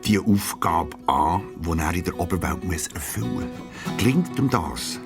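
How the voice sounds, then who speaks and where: A man's voice: deep voice, music in the background. A man narrating a children's audio story expressively, drawing out key words. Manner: expressive storytelling, drawn-out emphasis.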